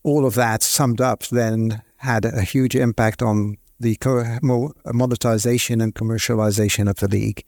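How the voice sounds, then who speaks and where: A man speaks on a conference panel in a mid-range voice. He is measured and businesslike, and the sound is close and dry with almost no room. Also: mid-range voice, fairly even intonation, a little mellow, dry recording. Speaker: league executive panelist. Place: conference stage.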